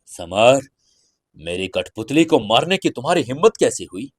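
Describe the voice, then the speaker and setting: A man's voice: mid-range voice, sing-song, normal speed. Man voicing an arrogant, wrathful Indian sect villain. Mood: angry. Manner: scornful, indignant. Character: arrogant, wrathful male dark-sect villain with corpse puppets.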